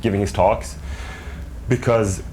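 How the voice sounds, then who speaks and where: A man from Sweden whose first language is Swedish speaks earnestly, groping for words with frequent fillers. His voice sits on the low side. Faint hiss lies behind it. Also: fairly even intonation, slow pace, dark tone, poor audio. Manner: earnest, searching for words, many "like" and "you know". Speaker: interview guest, family member. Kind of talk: casual interview conversation.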